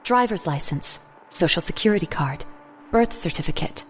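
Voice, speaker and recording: Low-pitched woman narrating a story. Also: animated, moderate pace, a little hiss or hum. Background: music.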